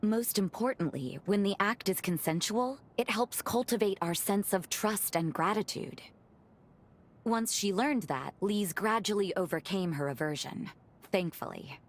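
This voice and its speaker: A woman voicing a gentle young vampire in a video game cutscene, speaking politely and thoughtfully with formal wording and soft teasing. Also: mid-range voice, animated, measured pace. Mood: fond, reflective. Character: gentle revenant woman, a vampire who loves cooking.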